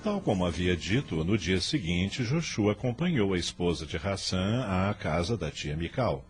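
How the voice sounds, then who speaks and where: Low-voiced, expressive male storyteller. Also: moderate pace, soft background music. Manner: formal, literary storytelling. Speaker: narrator. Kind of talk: scripted radio drama narration.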